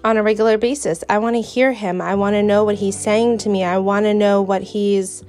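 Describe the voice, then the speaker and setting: A woman's voice: mid-range voice, steady tone, brisk, darkish tone, soft background music. A young adult woman with a New York accent, hosting a podcast and talking in an earnest, chatty way about her faith. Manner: earnest and chatty, with fillers and restarts. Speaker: podcast host. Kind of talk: casual faith podcast monologue.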